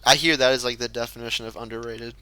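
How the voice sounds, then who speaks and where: Bright-voiced young man, hesitant talker. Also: mid-range voice, steady tone, quick pace, clean tone, no echo, rough recording. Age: young adult. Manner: hesitant, repeats words, says "like" often. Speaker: podcast co-host. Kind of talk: casual group chat.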